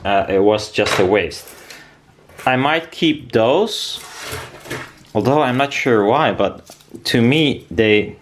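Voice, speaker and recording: A man's voice: medium pitch, expressive, slow, long pauses, background noise. A man giving a casual workshop demonstration as a DIY maker, rambling and thinking aloud as he goes. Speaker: DIY maker presenting a build video.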